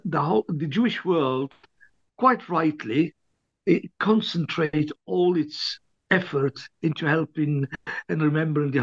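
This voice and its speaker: An older man from Libya, an author, recalling his past in an interview with many restarts and much throat clearing. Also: a little high, fairly bright tone, no echo, lo-fi sound. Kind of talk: hesitant interview reminiscence.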